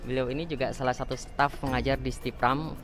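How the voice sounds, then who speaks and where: A man's voice: medium pitch, fairly even intonation, brisk, music in the background. A man from East Java hosting an interview, asking questions and summing up the guest's answers in a friendly way. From Jember, East Java, Indonesia. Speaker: interview host. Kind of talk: interview host.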